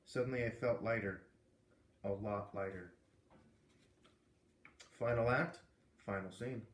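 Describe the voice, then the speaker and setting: A man narrating a children's book aloud in an expressive storytelling style. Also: on the low side, slow pace, roomy sound.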